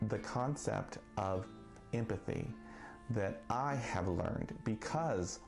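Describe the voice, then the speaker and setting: A man who stutters, speaking slowly and deliberately with long pauses in a personal monologue. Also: fairly low pitch, lively intonation, creaky. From Texas. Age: 40s. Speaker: vlogger. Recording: faint guitar music behind.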